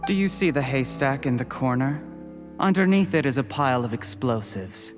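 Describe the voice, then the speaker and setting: An actor playing a scheming male official who calmly gloats over his victim, with a menacing, measured delivery. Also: medium pitch, soft background music, close to an everyday voice. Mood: gloating. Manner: calm, menacing, drops to a hushed whisper. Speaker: game character.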